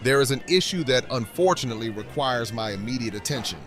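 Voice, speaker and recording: A man acting in an audio drama as a warm, stately community leader, with theatrical, oratorical phrasing. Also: mid-range voice, lively intonation, quick pace, faint music behind, lightly acted. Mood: grave.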